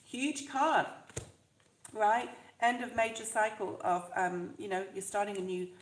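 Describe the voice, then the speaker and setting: A woman with a stuffed-up, fluey voice, chatting warmly and reassuringly to viewers during a live tarot reading. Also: medium pitch, measured pace, roomy sound, low-quality recording. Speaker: tarot reader.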